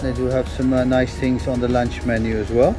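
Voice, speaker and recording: Man, travel vlogger, casual narration, hesitant fillers, mid pitch, music behind. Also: brisk. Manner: relaxed, hesitant with frequent "uh" fillers. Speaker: vlogger and interviewer.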